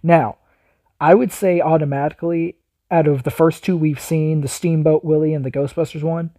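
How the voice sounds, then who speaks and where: A man's voice: a little high, fairly even intonation, normal speed, dark tone, no echo. A man hosting a reaction video, giving casual off-the-cuff commentary.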